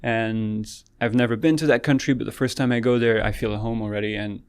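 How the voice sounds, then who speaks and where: A man in his late twenties from France with a light French accent, a yoga teacher talking calmly and softly in a casual interview. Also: medium pitch, a little fast, smooth. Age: late 20s. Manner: calm, soft-spoken, frequent restarts and laughs.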